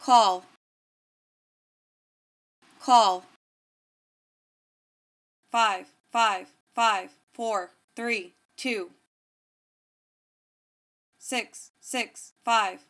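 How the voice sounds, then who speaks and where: A woman's synthetic-sounding announcer voice reads single digits one at a time in a flat, detached way, as on a numbers station. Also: on the high side, very slow, pauses often.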